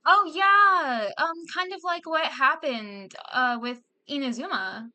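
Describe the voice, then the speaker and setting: A woman's voice: sits high, expressive, slow pace, sharp tone. A woman streamer giving casual, chatty commentary on a game, thinking aloud as she plays.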